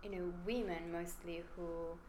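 A woman's voice: lowish voice, steady tone, unhurried, a little mellow, reverberant, slight background noise. A woman with an Italian accent, a researcher explaining her work in an emphatic, conversational interview. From Italy. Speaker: brain researcher guest.

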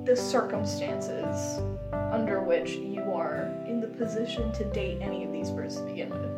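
A woman chatting casually and comedically as a podcast co-host, giggly, animated and prone to swearing. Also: mid-range voice, moderate pace, over loud music.

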